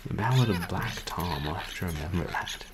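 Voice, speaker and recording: A man's voice: deep voice, expressive, fairly quick. A man in his thirties giving casual play-along game commentary with musing, dry asides. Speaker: game streamer. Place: small room indoors. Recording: noticeable background noise.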